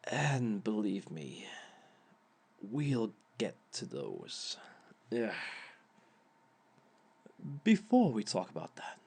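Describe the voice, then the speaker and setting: A man's voice: medium pitch, creaky, warm tone, exaggerated voice. A man playing a smug, sly villain lecturer. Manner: theatrical, sly, chuckling asides. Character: smug male villain giving a dating seminar. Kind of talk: audio roleplay monologue.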